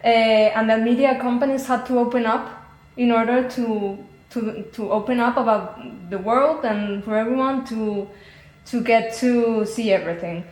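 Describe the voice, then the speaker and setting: Higher-pitched woman interviewer, echoey. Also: moderate pace, darkish tone. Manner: frequent short backchannel agreement. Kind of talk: interview questions. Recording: echoey room.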